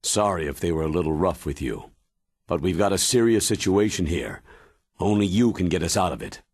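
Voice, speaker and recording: An actor playing a stern military commander briefing an agent in a video game, grave and measured in manner. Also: male voice, low voice, expressive, a little mellow, no echo, heavily acted. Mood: firm. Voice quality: husky, weighty. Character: stern, authoritative older military commander giving mission briefing. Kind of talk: dramatic video game cutscene acting.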